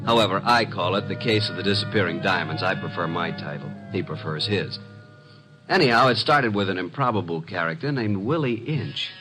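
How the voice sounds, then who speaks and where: An actor playing a breezy, wry detective's assistant and narrator in slangy old radio drama style. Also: male voice, low-pitched, animated, a little fast, over music. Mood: wry narration. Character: brisk, wisecracking male detective's assistant and narrator. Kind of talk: 1940s-50s radio detective drama acting. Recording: noisy vintage radio recording.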